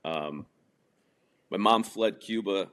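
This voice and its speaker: Chatty low-voiced man telling stories. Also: slow pace, a bit bright. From New Jersey, US. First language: Spanish. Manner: chatty, laughs at own jokes, frequent fillers. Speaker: podcast guest. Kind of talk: casual storytelling interview.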